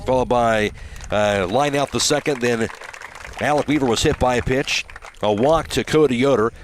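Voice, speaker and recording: Male American radio baseball announcer reading out game recaps and sponsor tags, mid-pitched, noisy ballpark broadcast. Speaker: radio sportscaster.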